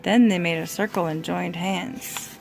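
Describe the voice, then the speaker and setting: A woman's voice: lowish voice, fairly even intonation, a little slow, vocal fry, a little mellow, a little hiss or hum, rough recording. A woman reading a children's storybook aloud to a small child, as a parent would.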